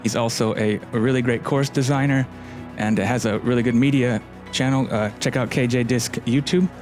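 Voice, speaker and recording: A man's voice: medium pitch, steady tone, normal speed, darkish tone, faint music behind. A man from Finland hosting an interview, chatty and friendly. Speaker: podcast host.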